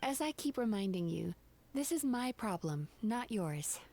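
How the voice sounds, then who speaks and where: Cool, reserved game character. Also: female voice, medium pitch, big pitch swings, unhurried, a little mellow. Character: reserved, proud woman running a bathhouse service. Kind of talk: video game voice acting.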